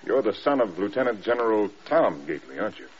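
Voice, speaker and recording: Hard-driving military general, clipped commands, scathing, low voice, noisy old radio recording. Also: male voice, big pitch swings, quick pace, muffled recording. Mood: cold, scathing. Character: stern, hard-driving male air force general taking command. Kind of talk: mid-century radio drama acting.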